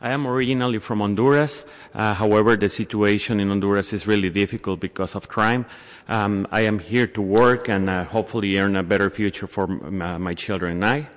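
A man interpreting and speaking formally and deliberately at a public hearing. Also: fairly low pitch, fairly even intonation. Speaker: interpreter and community advocate. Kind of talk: consecutive interpreting and public comment. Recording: echoey hall, long reverb.

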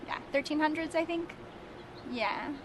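A woman musician from Sweden and the United States chats casually in an interview. Her voice is on the high side, with clear ambient noise around her. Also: unhurried. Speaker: indie rock musician.

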